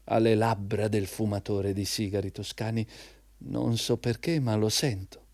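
An actor playing an ingratiating, eager job applicant in a theatrical Italian radio drama, effusive and insistent in manner. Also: male voice, on the low side, steady tone, a little slow, darkish tone, no room sound. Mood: savoring, flattering.

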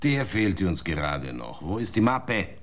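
A stern, stubborn police inspector with a deep voice. He interrogates in curt, commanding phrases in a radio drama. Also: sing-song, unhurried. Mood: pressing. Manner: curt, commanding, pressing questions.

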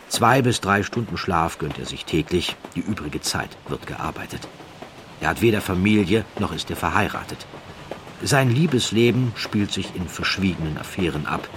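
A man narrates a scripted documentary-style story in measured, deliberate phrases with dramatic emphasis. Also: low voice, fairly bright tone, a little hiss or hum.

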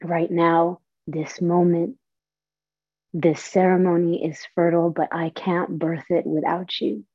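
A young woman from Saudi Arabia and Palestine speaks with slow, deliberate earnestness, her voice sitting a little low. The audio is dull and lo-fi, with a close, dry sound and no room around it. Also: fairly even intonation, no room sound. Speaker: storyteller and performer. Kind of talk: theatrical monologue and personal talk.

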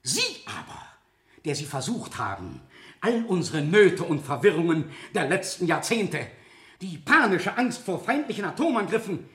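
A relentless courtroom prosecutor in a vintage radio drama. His fairly high voice rises and falls sharply with cutting irony and theatrical insistence, ringing in a roomy, echoing space. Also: sing-song, normal speed, fairly bright tone. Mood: thundering accusation. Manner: theatrical, rhetorical, insistent cross-examining. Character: relentless male public prosecutor, scornful and cuttingly ironic. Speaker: actor in a radio play. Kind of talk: 1970 German radio drama courtroom acting.

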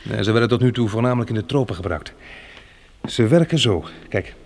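An actor playing a resolute, practical man surviving a catastrophe in a Dutch radio play, brisk and in command. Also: fairly low pitch, a little mellow. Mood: practical, assured.

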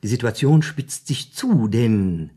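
A theatrical storyteller in a German radio drama. His husky voice swings widely in pitch as he eagerly lays out a crime plot and slips into the lines of its characters. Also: expressive, takes it slowly, mellow. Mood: dramatic narrating. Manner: theatrical, suspenseful, acts out lines.

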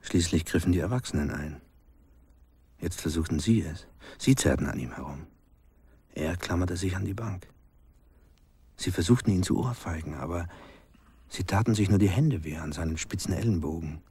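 An actor playing a grim villager recalling cruel childhood events, deliberate and hushed, in a radio play. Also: male voice, low-pitched, full character voice. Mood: grim, intense. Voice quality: gravelly, husky, breathy. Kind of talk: slow dramatic radio play storytelling.

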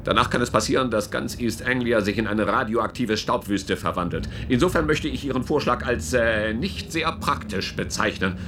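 An actor playing an impatient government official in a German radio play, formal and bitingly sarcastic. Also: male voice, medium pitch, moderate pace, few pauses, noisy background, close to an everyday voice. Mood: coldly sardonic. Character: sardonic, impatient male government official named Charles.

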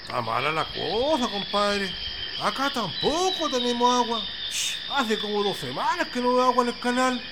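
Man acting a high-voiced, grumbling Chilean peasant farmer. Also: sing-song, moderate pace, heavily acted. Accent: rural Chilean. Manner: colloquial country talk, grumbling. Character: peasant farmer Juan, indignant and resigned, plain-spoken. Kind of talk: amateur radio play dialogue. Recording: outdoor ambience noise with birds and insects.

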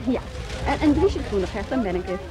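An actress playing a woman police officer in a radio drama, brisk and modest, brushing off praise. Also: a little high, animated, natural voice, low-quality recording. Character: woman police officer, former sociology student.

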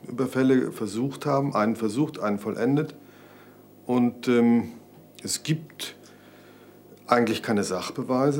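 A defense lawyer answers an interviewer, stopping often on filler sounds as he thinks. His voice sits fairly low. A faint hiss runs beneath it. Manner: hesitant, many fillers.

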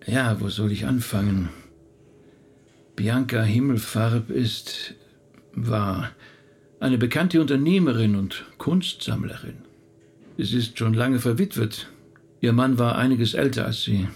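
A man's voice: medium pitch, slow pace, long pauses, a little mellow, breathy edge. A male actor playing a middle-aged rabbi in a naturalistic radio drama, thoughtful, talkative and a little hesitant as he recounts family history. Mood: reflective, reminiscing. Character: thoughtful male rabbi recounting his late relative's life.

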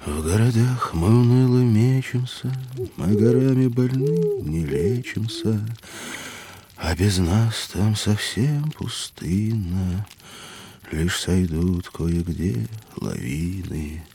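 An actor playing a hearty, hospitable mountaineer in an audio drama for children, speaking expressively. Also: male voice, on the low side, lively intonation, slow pace, warm tone, soft background music. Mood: wistful, reciting. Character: hearty hospitable mountaineer by a campfire below Everest. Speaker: mountaineer character.

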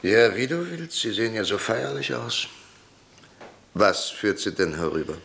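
An actor playing a sceptical, proud professor turned politician in a radio play, dry, ironic and probing. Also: male voice, on the low side, big pitch swings, unhurried, vocal fry, a bit bright, heavily acted. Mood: wry. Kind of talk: German radio play acting.

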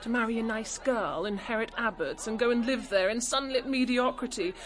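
A woman playing a rebellious, sharp-tongued young suffragette in a radio drama, mocking and challenging. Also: on the high side, a little fast, natural voice. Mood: scornful. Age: young adult.

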